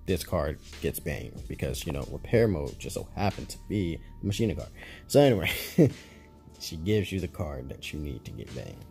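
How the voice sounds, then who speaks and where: A man's voice: low voice, creaky, warm tone. A man casually talking through a card game for his channel, chatty and rambling, laughing at his own slips. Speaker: gaming YouTuber. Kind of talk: casual gameplay commentary.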